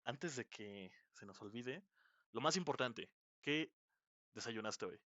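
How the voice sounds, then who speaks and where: A man's voice: medium pitch, lively intonation, takes it slowly, bright, forceful tone, dry recording. A young man from Mexico, a podcast host and indie game developer, chatting casually and laughing often. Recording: dull lo-fi mic, dry.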